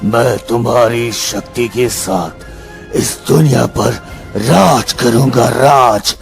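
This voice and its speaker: A man voicing a scheming, power-hungry evil sorcerer in a dubbed cartoon story, chanting slowly and menacingly. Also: medium pitch, gravelly, faint music behind. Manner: slow ritual chanting, menacing.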